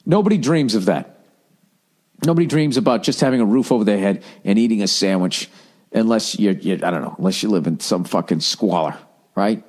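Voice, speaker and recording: A man's voice: animated, brisk, darkish tone. A middle-aged man hosting a podcast, bluntly giving advice with lots of swearing and mocking put-on voices. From United States. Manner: profane, blunt, mocking voices in a higher pitch. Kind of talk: casual advice rant reading listener letters.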